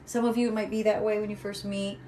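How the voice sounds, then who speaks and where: Young woman, calm soothing voice, casual live tarot reading, swears freely, chatty. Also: medium pitch, fast talker, a little hiss or hum. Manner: chatty, swears freely, reads comments aloud. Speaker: tarot reader live-streaming.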